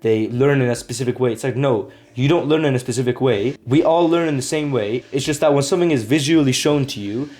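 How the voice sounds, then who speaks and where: A man's voice: mid-range voice, brisk, hardly any pauses, darkish tone. A young man, a language-learning video maker, talking straight to camera in a casual, earnest way, leaning on "like" and "right?". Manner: earnest, repetitive, lots of "like" and "right?". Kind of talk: casual explainer vlog.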